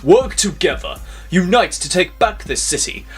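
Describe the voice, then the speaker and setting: A man's voice: high voice, sing-song, moderate pace, husky, faint music behind, voice pushed up, heavily acted. A man playing a proud, scheming mob boss with a formal, grandiose manner. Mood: persuasive.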